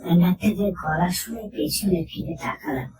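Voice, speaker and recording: A woman telling a horror story in the first person, in a slow and tense manner. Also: fairly low pitch, darkish tone. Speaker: narrator. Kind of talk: scripted horror story narration. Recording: echoey, distant, under music.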